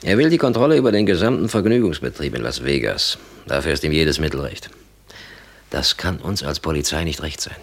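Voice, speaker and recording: An actor playing a stern, authoritative police captain in a 1960s German radio drama, with clipped official delivery. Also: male voice, rumbling low, animated, fairly quick, slightly rough, full character voice. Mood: measured, commanding. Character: stern male police captain handing out orders.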